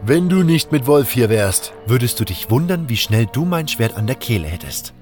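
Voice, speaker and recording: A man's voice: medium pitch, very animated, normal speed, darkish tone, close to an everyday voice. A man voicing a harsh, bullying field overseer in a fantasy audio drama, barking threats over music. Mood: menacing.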